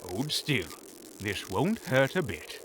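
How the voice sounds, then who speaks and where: A man's voice: medium pitch, sing-song, takes it slowly, background noise. A man playing a smug, sadistic magician villain with a theatrical, taunting delivery in a fantasy audio drama. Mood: menacing, curious. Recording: background music under the voice.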